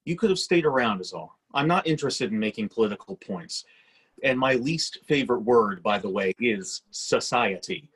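A man's voice: medium pitch, lively intonation, moderate pace, a bit bright, breathy edge. A man playing a resentful, practical grown son in a screenplay reading, blunt and conversational. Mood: sardonic. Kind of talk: screenplay table-read acting.

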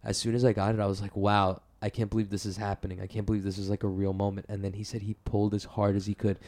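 A man's voice: lowish voice, little pitch movement, quick pace, darkish tone. A man co-hosting a casual podcast, rambling with lots of "like" and "uh" fillers. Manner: rambling, heavy use of "like" and "uh". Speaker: podcast co-host. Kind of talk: casual chat.

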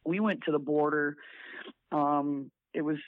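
A raspy man's voice comes down a narrow, tinny phone line. He sits a little high in pitch and talks haltingly, restarting often. The sound is dry and close. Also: steady tone, dry recording. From South Dakota. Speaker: guest volunteer. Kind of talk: casual phone interview.

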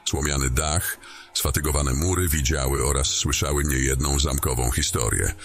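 A man narrating a fantasy audio drama in a measured storytelling way. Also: very deep voice. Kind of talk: scripted narration.

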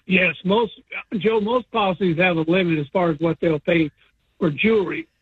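A man's voice: high voice, normal speed, breathy edge, no echo. A man calling in to a radio show as an insurance agent, explaining coverage in an earnest, step-by-step way. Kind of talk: phone-in explanation. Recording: phone line.

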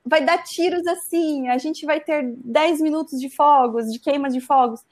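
Uma mulher jovem de Santa Catarina contando uma história de forma casual e animada, cheia de "né". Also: female voice, high voice, moderate pace. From Santa Catarina, Brazil. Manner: animated, frequent "né" tags. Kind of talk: casual storytelling.

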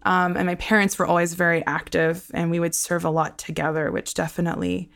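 A woman's voice: lowish voice, fairly quick, vocal fry, fairly bright tone. A young woman who hosts a podcast, talking easily about her life and laughing often. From Australia. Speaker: podcast host. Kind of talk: conversational interview.

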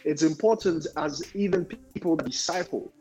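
A man speaking reflectively in a group discussion, deliberate and halting, often repeating words as he builds a point. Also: fairly high pitch, darkish tone, faint music behind, poor audio. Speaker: discussion panelist.

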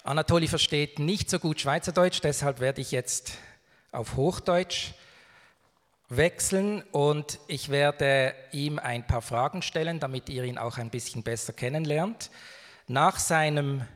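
A man, introducing and interviewing a guest at a church service in a friendly, measured way. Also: fairly high pitch. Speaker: church moderator. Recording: reverberant hall.

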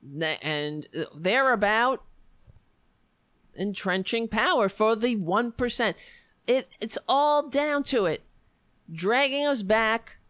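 A woman hosting her own political show, hoarse from losing her voice. She rants in a sarcastic, scattered way, with a slow, drawn-out pace. Her voice is recorded dry and close, with no room sound. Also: on the high side, animated, a bit bright, no room sound. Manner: ranting, sarcastic, scattered asides. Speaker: political podcast host. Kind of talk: solo political commentary.

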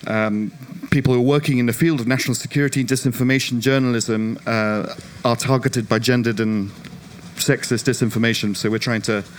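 A man from the UK speaks on a conference panel, his voice slightly croaky yet smooth and clear beneath it. He tells his story with self-deprecating humor and animated storytelling. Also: medium pitch, brisk. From United Kingdom. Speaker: panelist, non-profit director. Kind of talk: panel discussion.

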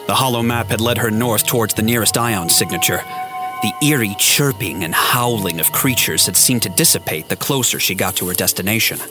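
A man's voice: on the low side, a little fast. A man giving a scripted, storytelling narration for a science fiction audio drama. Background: music.

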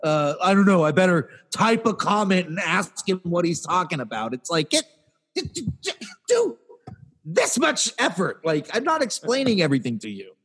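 Ranting man, high animated voice. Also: moderate pace, slightly rough. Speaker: podcast co-host. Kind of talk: casual chat.

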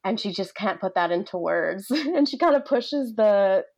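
A woman's voice: mid-range voice, lively intonation, normal speed, a little mellow. A young woman hosting a casual book chat, gushing informally and peppering her talk with "like". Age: young adult. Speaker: podcast host.

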